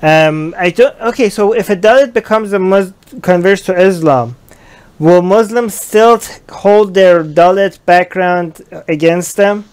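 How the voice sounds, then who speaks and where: A young man with a smooth, resonant voice, a touch on the higher side, hosting a relaxed livestream from a small room. He talks into a headset, reading viewer comments aloud and reacting to them. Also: unhurried. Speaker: livestream host. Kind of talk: casual live-stream discussion.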